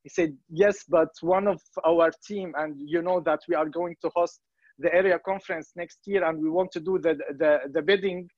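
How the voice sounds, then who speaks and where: A man in his thirties from Syria, speaking English as an in a casual testimonial, halting with many fillers and restarts. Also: a little high, steady tone, darkish tone, dry recording. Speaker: volunteer organization member. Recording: dry, muffled lo-fi sound.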